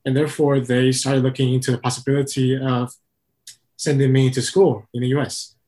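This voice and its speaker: A man from Taiwan, an interview guest and company founder, answering in a calm, methodical way. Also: mid-range voice, brisk.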